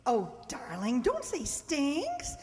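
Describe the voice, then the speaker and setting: A woman acting a proper, fretting society mother in a radio play, prim and gently scolding. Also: high voice, wildly swooping pitch, slow, full character voice. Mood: fussing. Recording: echoey hall, stage radio performance.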